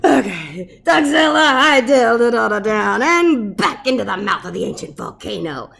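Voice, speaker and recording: A woman reading a children's story aloud as narrator, dramatic and expressive. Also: a little high, moderate pace, gravelly. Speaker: storybook reader. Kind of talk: animated read-aloud narration.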